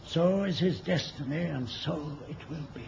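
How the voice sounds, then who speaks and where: An actor playing an ancient wizard and mentor in a radio play, speaking in a poetic, archaic and wistful manner. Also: male voice, fairly high pitch, moderate pace, gravelly, darkish tone. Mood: solemn longing. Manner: poetic, grandly archaic, chuckling speech. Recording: dull, noisy old radio recording.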